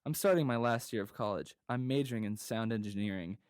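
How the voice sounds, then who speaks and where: A man's voice: lowish voice, a little fast, darkish tone, smooth, natural voice. A man playing a cheerful young delivery guy. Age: young adult. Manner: cheerful, polite. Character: friendly young male coffee delivery guy.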